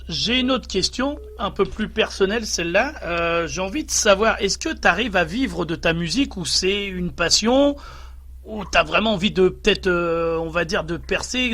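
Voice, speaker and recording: A man hosting a casual radio interview, asking questions in an informal, halting way. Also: high voice, pushed and bright, slight background noise.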